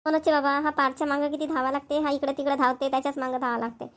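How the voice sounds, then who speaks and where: Gentle elderly grandmother, played by a woman, very high pitch, talkative, rural Maharashtrian accent, cartoon. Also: level tone, very fast. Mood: homely, tired. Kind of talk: cartoon family dialogue.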